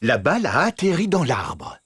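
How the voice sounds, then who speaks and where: A woman's voice: low voice, very animated, normal speed, fairly bright tone, a little hiss or hum. A woman narrating a children's cartoon in short explanatory lines.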